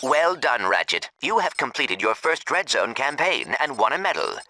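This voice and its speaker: An actor playing an encouraging guide who explains combat upgrades to a contestant in a video game, with loud music over the voice. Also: male voice, medium pitch, very animated, normal speed. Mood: approving. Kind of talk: scripted video game tutorial dialogue.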